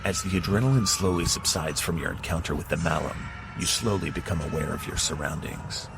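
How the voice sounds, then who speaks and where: A man with an extremely deep voice narrates a horror tale in steady, measured second person. Loud music plays beneath him the whole time.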